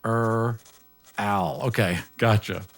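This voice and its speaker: A man speaks slowly as a player in a tabletop game. His pitch swings in a lively way while he casually improvises a description of his character. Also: gravelly, slight background noise.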